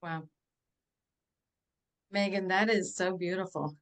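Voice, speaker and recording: A woman's voice: fairly low pitch, steady tone, slow pace. A woman moderating a video call, gracious and encouraging toward the speakers.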